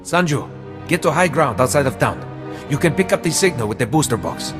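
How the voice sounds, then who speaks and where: A cautious male resistance technician speaks calmly in an average-pitched voice. His delivery is measured. There is a long echo and a loud score behind him. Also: over music. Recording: echoey game cutscene with loud score.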